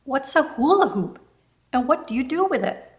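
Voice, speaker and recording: A woman's voice: sits high, very animated, moderate pace, pitched above the performer's own voice. A woman voicing a shy, polite, self-doubting young girl in a children's storybook, hesitant and deferential. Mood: unsure. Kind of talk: children's storybook read-aloud.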